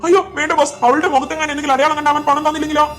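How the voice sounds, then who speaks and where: A woman's voice: high voice, level tone, rapid-fire, a bit bright, faint music behind. A woman voicing a nervous, kind-hearted, obedient henchman in a cartoon dub. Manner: nervous, deferential. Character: timid, kind-hearted male henchman, obedient but worried. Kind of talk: amateur cartoon dub in Malayalam.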